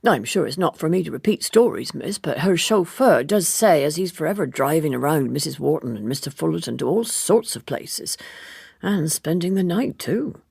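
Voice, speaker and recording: A woman's voice: deep voice, lively intonation, moderate pace, vocal fry, a little mellow, breathy edge, dry recording, studio quality, heavily acted. A woman voicing a gossipy, disapproving English housekeeper in an audiobook, huffy, colloquial and full of insinuation.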